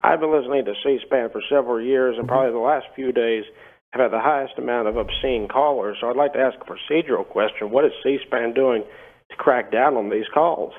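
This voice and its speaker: A man calling in to a live TV political program, giving comments in an earnest, deadpan way. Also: medium pitch, fairly quick, close to an everyday voice. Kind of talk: prank call posing as earnest political comment. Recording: muffled narrow telephone line.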